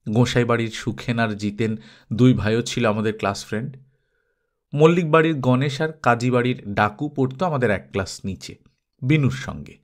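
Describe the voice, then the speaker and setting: A male storyteller reads a childhood tale aloud at a middle pitch. He talks straight to his listeners in a close, playful way and drops in asides as if they sat beside him. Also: moderate pace. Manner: intimate, addresses listeners directly, playful asides.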